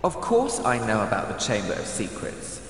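Echoing, cold teenage villain. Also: male voice, fairly low pitch, huge pitch swings, normal speed. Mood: calm, inviting. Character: smooth, cold, self-assured teenage schoolboy villain. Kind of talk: video game cutscene acting. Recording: echoey hall reverb, noisy background.

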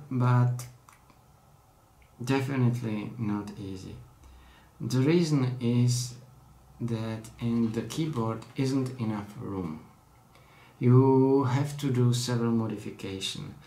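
A man's voice: mid-range voice, slow, dark tone, reverberant. A young man from the Czech Republic with a Czech accent, speaking careful non-native English as he shows off a hobby project at his desk at home. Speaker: hobbyist vlogger. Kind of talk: casual tech demo. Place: small room at home, indoors at a desk.

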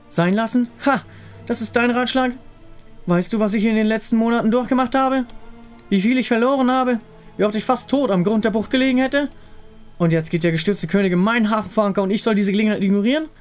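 Man voicing a scornful, high-pitched female pirate captain. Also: fast, soft background music, lightly acted. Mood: scornful, indignant. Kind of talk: visual novel character read-aloud.

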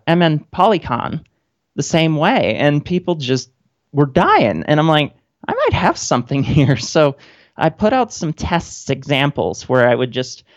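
A woman's voice: low voice, no echo. A woman who is a guest on a radio interview, chatting casually with a wry manner. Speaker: guest, independent author and grad student. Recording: dull, lo-fi line.

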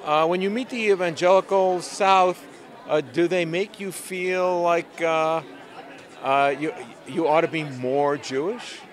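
A man interviewing an author at a convention, asking his questions in a halting way with many fillers. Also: a little high, some chatter behind. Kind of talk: on-the-spot interview. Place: busy convention hall, indoors.

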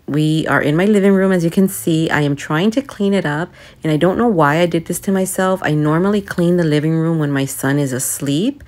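A woman's voice: low-pitched, darkish tone. A young woman narrating a home vlog in a casual, chatty, confiding way, as if talking to friends. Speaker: home and cleaning vlogger.

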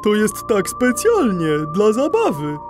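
A woman voicing a cheeky, sceptical young hippo boy, expressive and childlike, in a children's audio play. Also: mid-range voice, unhurried, music in the background. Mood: teasing.

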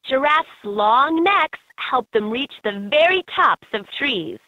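A woman reading scripted educational narration for a children's game in an expressive, instructive way. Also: high voice, slow, no echo. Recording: slightly dull, lo-fi game audio.

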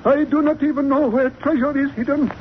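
Very high-pitched radio informant. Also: male voice, fairly even intonation, fairly quick. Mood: guarded. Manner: stilted, clipped phrasing dropping articles. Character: timid, high-voiced male shopkeeper informant. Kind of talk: 1940s radio acting. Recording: muffled old radio recording, faint noise.

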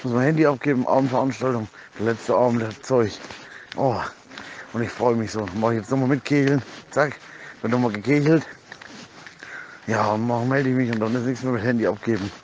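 A man's voice: mid-range voice, brisk, darkish tone, muffled recording, a little hiss or hum. Rambling middle-aged German man. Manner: rambling, slangy, repeats himself. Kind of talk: casual private voice messages.

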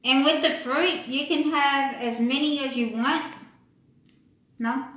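Slow, bright-voiced woman demonstrating a snack. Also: high voice. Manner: slow, plain, halting delivery with many pauses. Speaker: cooking demonstrator. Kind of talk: casual cooking demo. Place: small indoor room with a wooden table. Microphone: handheld phone camera. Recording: echoey room, faint background noise.

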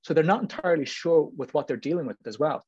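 Irish guy on a video panel, husky voice, talks fast, chatty. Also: fairly high pitch, darkish tone. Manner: talkative, hedging with frequent "you know what I mean". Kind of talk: informal panel discussion. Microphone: video call. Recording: muffled, roomy video call audio.

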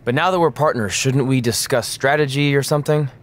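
An actor playing an eager, self-assured young wrestler in a video game cutscene, upbeat and a little self-absorbed. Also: male voice, mid-range voice, brisk, a little hiss or hum, natural voice. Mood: eager, confident.

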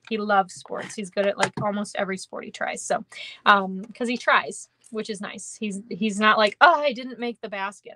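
A woman's voice: medium pitch, a bit bright, a little hiss or hum. A young woman with a Minnesotan accent teaching a card-making class on a live stream, chatting with viewers in a giggly, rambling way. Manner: chatty, giggly, lots of ums and kindas. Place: indoor craft room.

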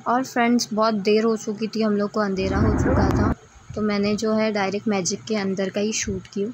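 A woman's voice: mid-range voice, little pitch movement, moderate pace, very noisy. A woman narrating a casual travel vlog in a chatty way, speaking directly to her viewers. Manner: chatty, addresses viewers as friends. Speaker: travel vlogger.

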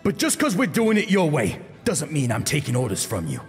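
A man voicing a gruff, hot-headed gunman in an audiobook, blunt, impatient and defiant. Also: a little high, wildly swooping pitch, fairly quick, long reverb tail, soft background music, voice pushed up. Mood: angry, defiant.

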